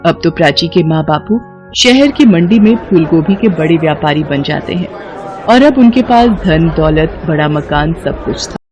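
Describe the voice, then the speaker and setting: A woman's voice: low voice, expressive, moderate pace, lo-fi sound. A woman storyteller reading a scripted moral tale, with music behind her.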